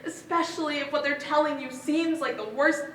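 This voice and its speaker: A woman's voice: high voice, moderate pace, close to an everyday voice. A woman playing an anguished, haunted young woman in a theatrical stage monologue. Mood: reflective, grave. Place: stage in a hall. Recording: echoey stage hall, faint noise.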